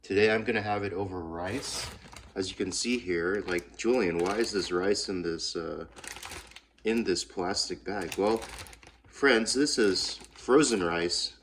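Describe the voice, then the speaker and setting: A man's voice: deep voice, unhurried, a bit bright, reverberant. A man with a congested voice giving a chatty, casual cooking demonstration in his home kitchen. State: congested from a cold. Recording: clear kitchen noise, frying, clatter.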